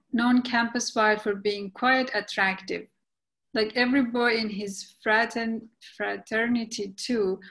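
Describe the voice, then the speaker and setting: Woman playing a slow, halting storytelling mother. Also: fairly high pitch, fairly bright tone, rough recording. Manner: halting read, stumbling over words. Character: jolly, outspoken aging mother, storytelling narrator. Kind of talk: scripted verse play reading. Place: indoors on a video call. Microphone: video call.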